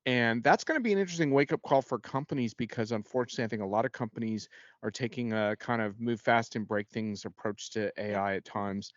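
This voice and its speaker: A man's voice: medium pitch, quick pace, no echo, highs cut off. A man speaking as a guest analyst in an unscripted panel discussion, in a conversational way with frequent self-corrections.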